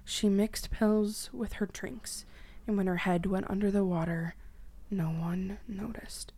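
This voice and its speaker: A bitter, guarded young woman held captive acted by a female reader in a dark audio drama. Her medium-pitched voice is full of creaky fry and often drops to a breathy hush. She sounds defensive and defiant toward her captor. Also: steady tone, a little slow. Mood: evasive. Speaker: voice actor in a dramatic reading. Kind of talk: dramatic reading of a dark podcast story.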